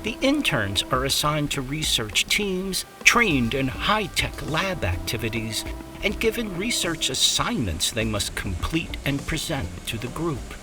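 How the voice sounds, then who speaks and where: A narrator reading a scripted documentary in a measured, expressive delivery. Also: medium pitch. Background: music bed.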